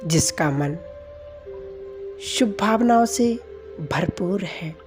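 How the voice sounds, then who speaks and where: A woman leading a guided meditation commentary in a calm, soft manner with long pauses. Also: on the low side. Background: background music.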